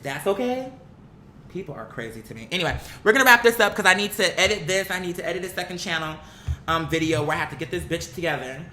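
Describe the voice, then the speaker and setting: Online commentator, mid-pitched and sharp-toned, emphatic, slangy, swears freely, opinionated off-the-cuff talk, roomy home-style recording. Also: expressive, quick pace. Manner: blunt, emphatic, slangy and profane. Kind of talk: casual opinionated commentary, unscripted talk to viewers. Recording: echoey room, bright sound, faint background noise.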